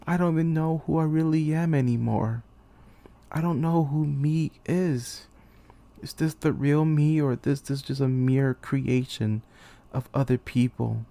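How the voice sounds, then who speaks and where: A man performs a young military commander sunk in despair and betrayal. His voice sits a little high for a male, with a soft, dark tone and plenty of fry. He speaks in a theatrical, halting way, as in a dramatic anime game monologue. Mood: hollow despair. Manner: theatrical, halting, anguished delivery.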